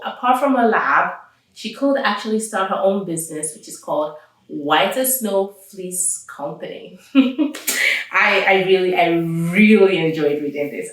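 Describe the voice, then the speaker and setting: A woman reading a story aloud to children as host, expressive and enthusiastic, with chatty asides and drawn-out emphasis. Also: mid-range voice. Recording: very echoey room, distant mic, music behind.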